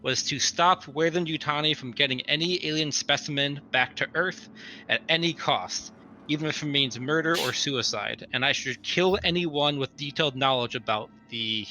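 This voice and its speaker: A man's voice: a little high, moderate pace, pushed and bright, low-quality recording. A man recapping his tabletop roleplaying character in a casual debrief, as one of the players. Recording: faint background noise.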